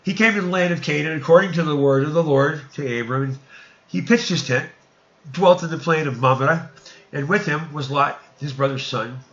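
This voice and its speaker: A man reading archaic scripture narrative aloud in an emphatic, declamatory and sometimes halting way. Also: fairly high pitch, a bit bright, dull audio.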